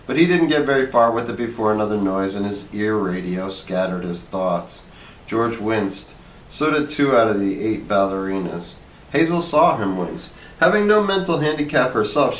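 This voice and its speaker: A man reading a short story aloud as an expressive narrator. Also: on the low side. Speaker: story reader. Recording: echoey room, distant mic, faint noise.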